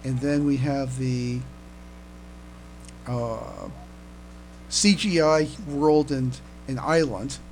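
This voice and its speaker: A man co-hosting a casual fan podcast, speaking haltingly and often repeating his words as he comments. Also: medium pitch, a little mellow. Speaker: podcast co-host. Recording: noisy home recording with hum.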